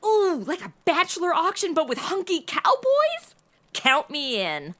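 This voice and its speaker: Comedy audio drama lead played by a woman: sassy modern American girl, bright voice, swooping sarcastic delivery. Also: extremely high pitch, unhurried, slight background noise, heavily acted. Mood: excited, silly. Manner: sarcastic, slangy, animated. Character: sassy, bored modern young woman stuck in Old West.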